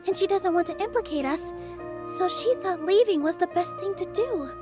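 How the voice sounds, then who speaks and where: A woman playing a gentle, earnest young theater dancer in a video game. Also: extremely high pitch, animated, moderate pace, music in the background. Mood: earnest, determined.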